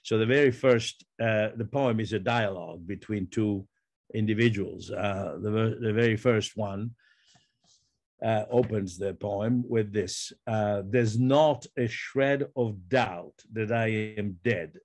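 Low-voiced elderly man. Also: slow, a little mellow, dry recording. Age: 70s or older. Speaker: poet and curator. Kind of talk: introducing and reading own poetry. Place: book-lined study, indoors. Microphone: computer mic on video call.

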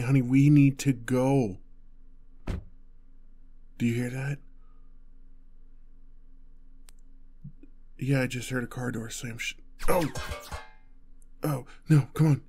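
A man's voice: medium pitch, dark tone, natural voice. A man playing a protective, anxious boyfriend on the run, stammering and tender, in an intimate audio roleplay. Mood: frantic, urgent. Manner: stammering, urgent, tender reassurance. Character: panicked, protective boyfriend fleeing a gunman with his partner.

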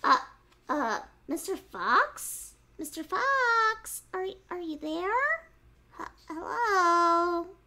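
A woman voicing a small, sly male piglet with a high, sing-song pleading way of talking. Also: big pitch swings, slow, sharp tone, full character voice. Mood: worried.

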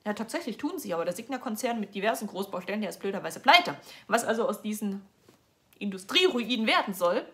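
A woman's voice: mid-range voice, animated, fast talker, a bit bright. A young woman from Germany giving casual, sarcastic news commentary on a livestream from her sofa, chatty and often restarting her sentences. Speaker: political vlogger. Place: indoors at home, seated on a sofa.

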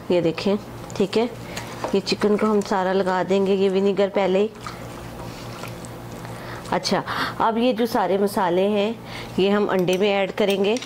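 A woman's voice: mid-range voice, a little mellow, slight background noise. A woman, explaining a home recipe step by step in a chatty way and repeatedly pointing things out to the viewer. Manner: chatty, repeats "acha ji" and "ye dekhen", mixes English measures into Hindi-Urdu. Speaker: home cooking channel host. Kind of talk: casual step-by-step cooking instruction.

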